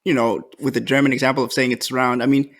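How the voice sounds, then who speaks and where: A man co-hosting a podcast in casual chat, relaxed and thinking aloud with many fillers. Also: medium pitch, fast. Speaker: podcast co-host.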